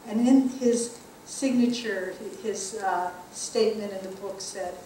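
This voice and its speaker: A woman giving an earnest spiritual talk as a teacher, measured and slowing down to stress key phrases. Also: mid-range voice, dark tone, a little hiss or hum. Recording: echoey room from a distant mic.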